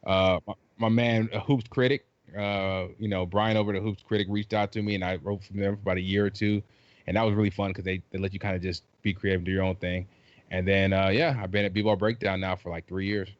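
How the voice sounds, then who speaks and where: A middle-aged man from Trinidad, raised in South Carolina, chatting casually as a podcast guest in a jokey, animated way with many restarts. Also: low voice, a little fast, dry recording, highs cut off. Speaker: podcast guest, basketball writer. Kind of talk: casual chat.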